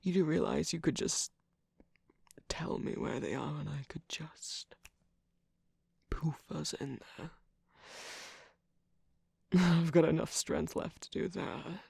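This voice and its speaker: Performer acting out smug weary trickster villain, very husky and whispery, slow teasing drawl, mock-grand, close dry sound, ASMR game-character roleplay. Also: mid-range voice, darkish tone, no room sound, full character voice. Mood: sly, curious. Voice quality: breathy, husky, creaky, often dropping into whisper.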